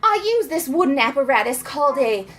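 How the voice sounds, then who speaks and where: A woman's voice: high-pitched, expressive, a crowd in the background. A woman playing a melodramatic belle wife, genteel yet bawdy, reading love letters for comedy. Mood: swooning confession. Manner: genteel, drawn-out, theatrical.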